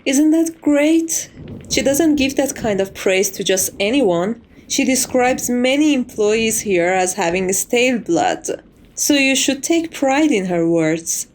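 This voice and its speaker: A woman playing a polite, devoted maid to a vampire mistress in an ASMR roleplay, formal and composed with quiet menace. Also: a little high, expressive, slow pace, a little hiss or hum. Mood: pleased, devoted.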